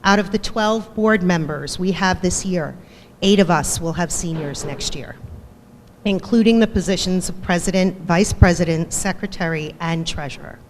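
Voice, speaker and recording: A woman's voice: fairly low pitch, moderate pace. A woman from the band parents association reading a prepared, formal appeal to other parents. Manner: formal, read-aloud delivery. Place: school auditorium. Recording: echoey hall with faint noise.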